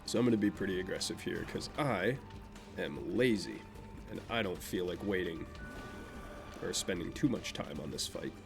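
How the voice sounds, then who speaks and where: A man's voice: sits low, lively intonation, moderate pace, creaky. Nasal young man rambling over gameplay. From Vermont, USA. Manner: rambling, self-deprecating, offhand. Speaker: gameplay commentator. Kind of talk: casual gameplay commentary. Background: game music.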